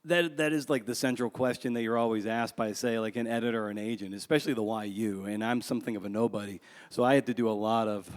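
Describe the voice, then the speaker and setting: A man's voice: mid-range voice, a little mellow. A man being interviewed as an author at a live event, talking in a conversational, self-deprecating and rambling way. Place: large room at a live book event. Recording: echoey hall with long reverb.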